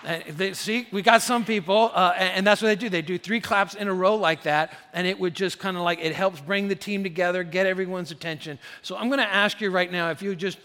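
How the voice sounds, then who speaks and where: A man's voice: high-pitched, fairly quick, few pauses. A middle-aged man preaching a sermon as pastor, casual and often repeating words at the start of phrases. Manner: loud rallying shouts of "three claps". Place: large church hall. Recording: long hall reverb.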